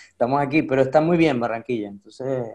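Relaxed chatty man. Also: mid-range voice, moderate pace. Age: adult with a child. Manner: relaxed, chatty, many fillers like "este". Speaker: guest. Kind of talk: casual conversation.

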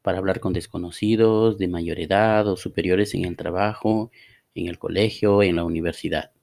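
A man teaching a Spanish lesson on a podcast, reading example phrases slowly and deliberately. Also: low-pitched, fairly even intonation, vocal fry, darkish tone. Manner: slow, deliberate, reads model dialogues aloud. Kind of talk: scripted language lesson podcast.

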